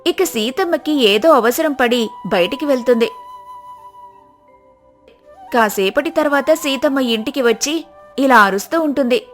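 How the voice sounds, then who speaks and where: Female storyteller, very high pitch, scripted moral-tale narration over faint music. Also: lively intonation, unhurried, long pauses.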